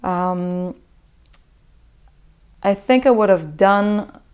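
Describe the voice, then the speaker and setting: A woman's voice: medium pitch, extremely slow, darkish tone, clear, resonant tone. A young woman with an Israeli accent, a Hebrew native speaker, giving reflective interview answers as a musician, with many hesitations and restarts.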